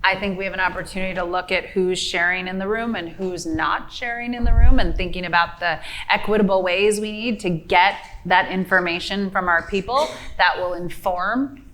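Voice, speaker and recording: A woman with a slightly low voice speaking on a panel. She chooses her words in a measured, thoughtful way, with a faint hiss behind her. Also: a bit bright, low-quality recording.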